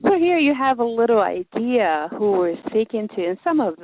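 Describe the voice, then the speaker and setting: Enthusiastic radio host woman, slightly high voice. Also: sing-song, normal speed, narrow, tinny audio, slight background noise. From immigrant to Canada. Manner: enthusiastic, hesitant with fillers. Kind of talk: radio interview introduction.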